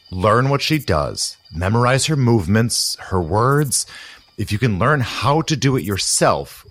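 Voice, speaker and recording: An actor playing a controlling, urgent male conspirator in an audio drama, giving deliberate, intimate instructions. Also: mid-range voice, a bit bright. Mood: intent, persuasive.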